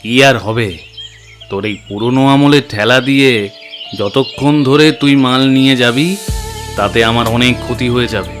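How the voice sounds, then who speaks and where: A self-important village headman who brushes people off in a brusque, dismissive tone, as a man plays him. The voice sits mid-range in an animated storybook with loud background music. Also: medium pitch, moderate pace, close to an everyday voice.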